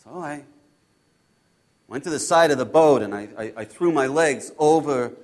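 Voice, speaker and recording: A man playing an impulsive disciple in a first-person dramatic Bible monologue, halting and repeating his phrases as he swings between hushed and loud delivery. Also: on the high side, natural voice. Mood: nervous.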